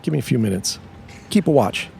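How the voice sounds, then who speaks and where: A steady young workingman, played by an actor, with a medium-pitched, expressive voice. His lines are clipped and matter-of-fact, in a period audio drama with faint street ambience. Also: brisk, gravelly, slight background noise. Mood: alert, guarded. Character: terse, steady young workingman turned amateur sleuth.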